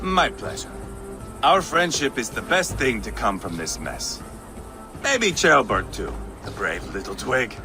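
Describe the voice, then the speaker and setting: A man's voice: mid-range voice, big pitch swings, a little slow. An actor playing a brash, swaggering Viking warlord who mocks his rivals. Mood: mocking. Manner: mocking, boisterous. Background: music.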